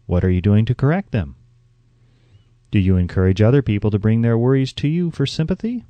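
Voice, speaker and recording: Male narrator for self-help audiobook: lowish, warm voice, instructive delivery, careful phrasing. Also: animated, a little fast, no echo, dull audio.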